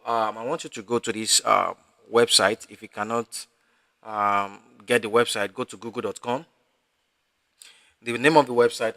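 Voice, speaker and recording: A man teaching a live online class, talking in a halting way with many fillers and restarts. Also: on the low side, pushed and bright, no room sound. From Africa. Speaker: instructor.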